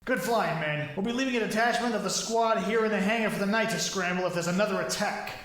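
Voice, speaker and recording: A gallant young flying ace played by a man in a 1940s-style radio serial. His voice sits high for a man, with chipper, slangy delivery, over a noisy ambient bed. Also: fast, lightly acted. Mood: brisk. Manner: folksy period slang, chipper. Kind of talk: pulp radio drama acting.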